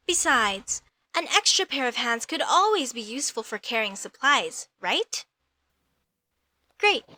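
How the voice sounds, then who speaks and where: A young elf mage girl, acted by a woman, speaks in a high, bright voice at an unhurried pace. She is formal and stilted, full of questions and quick to get indignant or flustered, as in a comedic audio roleplay. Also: exaggerated voice. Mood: curious, earnest.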